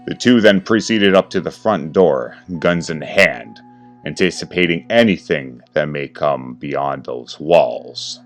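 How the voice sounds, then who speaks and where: A man with an extremely deep, booming voice reads a horror story in a grave, dramatic manner. Faint music plays underneath. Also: animated, a little slow. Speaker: narrator.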